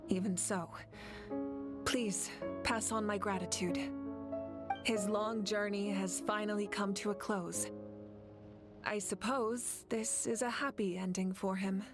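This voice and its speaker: A woman's voice: mid-range voice, fairly even intonation, slow, pauses often, close to an everyday voice. A woman voicing a sorrowful, reflective warrior in a game cutscene, subdued and sighing. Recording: game music behind voice.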